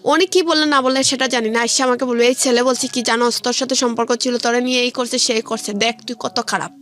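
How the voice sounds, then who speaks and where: A woman's voice: fairly high pitch, fairly even intonation, quick pace, fairly bright tone. A young woman with a Bangladeshi accent telling her life story in a colloquial way during a radio interview, often quoting conversations directly. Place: radio studio. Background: background music.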